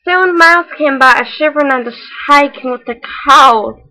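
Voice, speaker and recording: A woman playing a quirky, earnest read-aloud hostess with a mock foreign accent, telling a children's story with exaggerated delivery and deadpan asides. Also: sits high, moderate pace. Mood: quirky storytelling.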